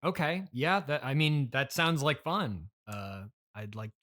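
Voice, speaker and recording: A man hosting an improvised comedy interview in casual chat, speaking in a halting, politely reluctant way. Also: medium pitch, lively intonation, fairly bright tone. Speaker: podcast host.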